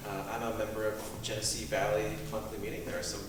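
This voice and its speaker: A man speaking informally as a panelist, halting and thinking aloud in short pieces. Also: lowish voice, flat delivery. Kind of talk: informal, unscripted talk to an audience. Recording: very echoey hall, distant mic, noisy.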